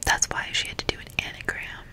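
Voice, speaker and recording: A woman whispering softly and breathily into a close microphone, ASMR style, reading a book and chatting intimately in asides. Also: fast talker. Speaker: ASMR reader and commentator.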